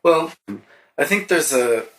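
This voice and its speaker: An Irish songwriter answering interview questions in a thoughtful, halting way, with many restarts. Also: male voice, a little high, lively intonation. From Ireland. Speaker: songwriter guest.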